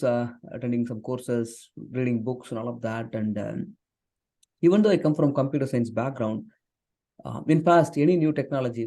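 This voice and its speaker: This is a man from southern India, a native Tamil speaker, talking in an unhurried podcast interview with a mellow, warm tone. His pitch sits in a middling range. He talks earnestly and often pauses on fillers and restarts. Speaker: guest, software engineer and author. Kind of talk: conversational interview.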